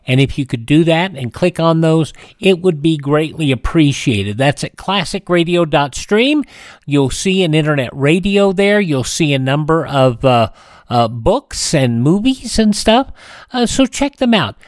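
A man hosting a radio programme with casual, halting, unscripted announcements. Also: fairly high pitch, lively intonation, dry recording. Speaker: host of a classic radio programme.

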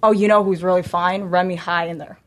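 A young woman with a deep voice, chatting on a podcast in a calm, matter-of-fact way. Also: fairly quick. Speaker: podcast guest. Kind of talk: casual chat.

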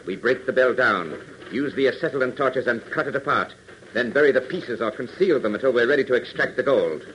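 An actor playing a scheming, bossy villain in a 1940s radio drama, with a commanding, contemptuous delivery. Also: male voice, mid-range voice, expressive, a little fast, fairly bright tone, lightly acted. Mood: coldly menacing. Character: scheming, bossy villain plotting to destroy evidence. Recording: noisy 1940s radio recording.

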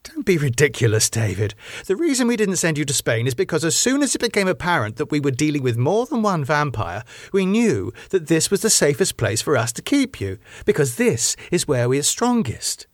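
A man's voice: fairly high pitch, very animated, fairly quick, hardly any pauses, no room sound, studio quality, voice pushed up. A man reading an audiobook, voicing a composed woman in authority who is polite, probing and insistent. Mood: firm. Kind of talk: audiobook character dialogue.

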